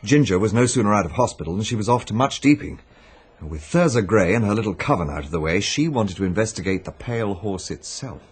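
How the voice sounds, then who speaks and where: An actor with a British accent playing a thoughtful, tender gentleman sleuth in a radio drama, speaking in a measured, gently wry way. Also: fairly low pitch, expressive, slightly rough.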